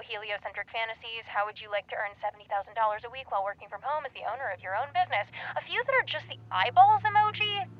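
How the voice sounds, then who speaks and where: A woman playing a breezy, deadpan conspiracy sidekick in a comedic audio drama, casual and offhand. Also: on the high side, dull audio, lightly acted.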